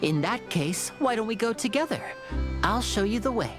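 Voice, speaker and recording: A woman's voice: on the high side, animated, normal speed, lightly acted. A woman playing a suave, courteous young male stage magician in a video game, with a polished showman's manner. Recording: game music behind.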